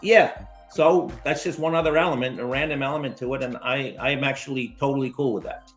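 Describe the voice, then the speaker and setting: A man as the guest in a casual podcast chat, explaining his reasoning in a measured way, restarting often and checking in with "right?". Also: medium pitch, soft background music.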